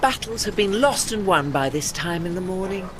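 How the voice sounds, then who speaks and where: A woman's voice: on the low side, lively intonation, normal speed, crowd noise, natural voice. An actress playing a haughty, dry-witted old lady ship with an arch, formal manner in a period audio drama.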